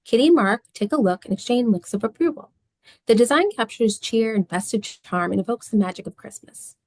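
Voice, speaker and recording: A woman's voice: on the high side, expressive, fairly quick, a little mellow, dry recording. A woman reading scene descriptions and stage directions as the narrator of a script.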